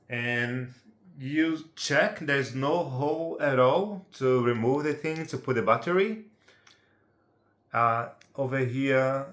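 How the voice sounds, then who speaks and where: A man's voice: medium pitch, a bit bright, echoey room. A man from Brazil with a Brazilian Portuguese accent, speaking English as a second language, giving a casual unedited how-to walkthrough and checking in with the viewer through tag questions. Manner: chatty, checks in with tag questions like "right?" and "yeah?". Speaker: amateur how-to presenter.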